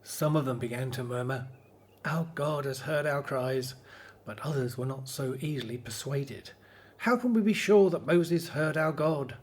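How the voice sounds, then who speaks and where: A man's voice: medium pitch, a little slow, a little hiss or hum, lightly acted. An actor playing an earnest, devout Hebrew spokesman in a radio drama. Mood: earnest recounting.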